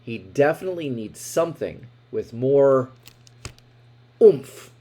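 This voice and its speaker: A man reads a picture book aloud with lots of expression and big swings in pitch. His voice is soft-edged and a bit mellow, with some huskiness, and he takes his time over the story, leaning on words for drama. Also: slow. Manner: expressive, dramatic emphasis with asides about the pictures. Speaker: storybook reader. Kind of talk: animated read-aloud of a children's picture book.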